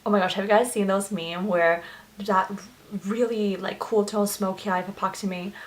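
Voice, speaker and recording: A woman's voice: mid-range voice, steady tone, moderate pace, low-quality recording. A young woman whose first language is Chinese, chatting through a makeup tutorial in a gushing, filler-heavy way. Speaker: beauty vlogger. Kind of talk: get-ready-with-me makeup tutorial chat.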